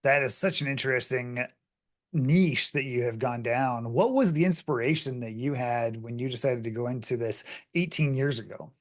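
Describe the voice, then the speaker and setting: A man's voice: medium pitch, normal speed. A man hosting a business podcast, introducing a guest and asking questions in an upbeat, emphatic manner. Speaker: podcast host. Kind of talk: interview hosting.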